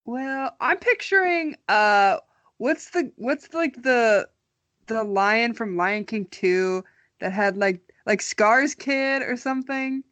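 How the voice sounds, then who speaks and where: A woman's voice: on the high side, slow, a bit bright, dry recording. A young adult woman co-hosting a podcast, giving casual comic commentary in a wry, teasing way. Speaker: podcast co-host.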